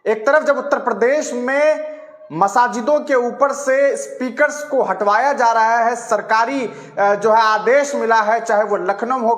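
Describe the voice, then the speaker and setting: High-pitched, emphatic man in echoey room. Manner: emphatic, indignant, rhetorical questions. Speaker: news presenter and commentator. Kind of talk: opinionated news commentary to camera.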